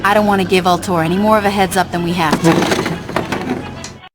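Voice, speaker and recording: A woman's voice: on the low side, fairly even intonation, normal speed, heavy background noise, close to an everyday voice. A woman voicing a tough, brazen gang boss in a video game, blunt, flippant and commanding. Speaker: gang leader. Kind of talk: video game cutscene acting.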